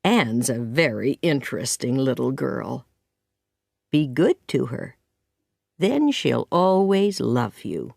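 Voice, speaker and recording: A woman voicing a gentle, patient, kindly older man in an audiobook story, speaking softly. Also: rumbling low, big pitch swings, takes it slowly, creaky, dry recording, clean studio sound, voice pushed down, exaggerated voice. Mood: happy, gentle.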